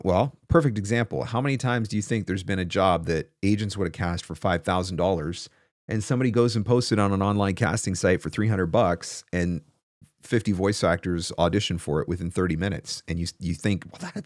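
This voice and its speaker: A man's voice: sits low, expressive, brisk, dry recording, clean studio sound. A man in his forties hosting a casual advice Q&A, speaking emphatically with rhetorical questions and repeated key phrases. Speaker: host, voice actor coach. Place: small home studio, indoors. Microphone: close desk broadcast microphone.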